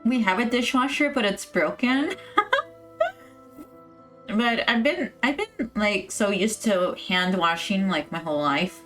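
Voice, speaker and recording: A woman's voice: medium pitch, a little slow, fairly bright tone. A woman game streamer chatting casually and informally with viewers as she reacts to the game. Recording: faint game music behind.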